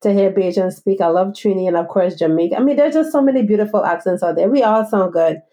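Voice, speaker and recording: Woman, from the Virgin Islands, interviewer, mellow tone, playful. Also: medium pitch, quick pace. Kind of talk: casual interview.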